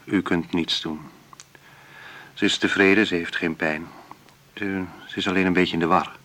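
Deep-voiced, grave family doctor. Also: male voice, steady tone. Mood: gentle, resigned. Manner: measured, blunt yet gentle. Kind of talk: naturalistic radio drama acting.

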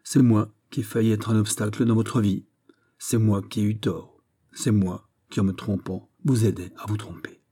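Storybook suitor, played by a male narrator: betrayed young nobleman, solemn and bitter, grandly tragic, low dark voice. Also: fairly even intonation, moderate pace, slightly rough. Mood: solemn restraint. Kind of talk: dramatic storybook reading.

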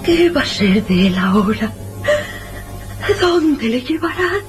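A woman playing a frail, dying widowed mother, pleading slowly and tearfully in old-style radio serial melodrama. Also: high voice, big pitch swings, faint music behind, heavily acted. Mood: mournful. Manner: slow, anguished, tearful pleading.

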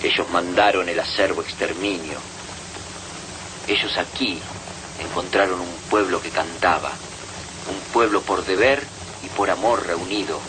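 A man with a low voice recites verse solemnly, phrase by measured phrase. The recording has clear hiss and noise. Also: fairly even intonation. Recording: noisy, hissy archive recording.

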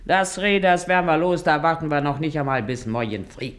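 A woman's voice: low voice, brisk, close to an everyday voice. An actress playing a shrewd, bossy working-class mother in a German radio play, speaking blunt, earthy Berlin dialect. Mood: stubborn refusal.

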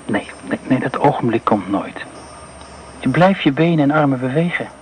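An actor playing a haunted man who speaks in a slow, brooding monologue about blood and war, in a radio play. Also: medium pitch, animated, slight background noise, natural voice. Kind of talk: radio drama within a radio broadcast.

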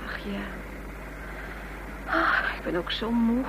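A woman's voice: on the high side, lively intonation, slow. An actress playing a frightened, weary young woman in a radio drama, breathless, anxious and pleading. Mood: tired, uneasy. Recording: noisy, humming old radio recording.

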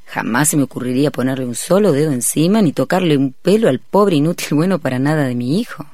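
A man's voice: a little high, animated, quick pace, a little mellow, no echo, studio quality, lightly acted. A man playing an indignant father who belittles his son in an acted radio spot. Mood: contemptuous.

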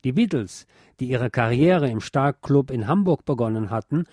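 Male narrator, mellow and dry-recorded, measured formal delivery of a written essay. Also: mid-range voice, lively intonation, no echo. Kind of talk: scripted spoken essay on pop history, read aloud.

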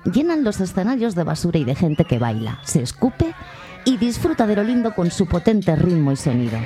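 A woman's voice: on the low side, very animated, normal speed, dark tone. A woman narrating a radio documentary in a mock-serious announcer tone.

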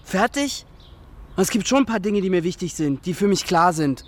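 An actor playing a halting, defensive but stubborn young man in a naturalistic radio drama. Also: high-pitched, animated. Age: young adult. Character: hesitant, stubborn young man about to leave town.

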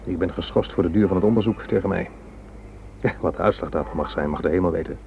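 A male actor playing a wry, resigned, suspended British police inspector in a radio drama, speaking in a measured, world-weary way. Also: deep voice, vocal fry. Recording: old radio recording, narrow band, background noise.

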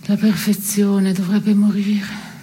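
A female stage actor playing a dry, irreverent woman who trades absurd pronouncements in terse deadpan. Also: mid-range voice, slow pace, a little hiss or hum, close to an everyday voice. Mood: dry contempt. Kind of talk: two-person absurdist satirical theatre dialogue.

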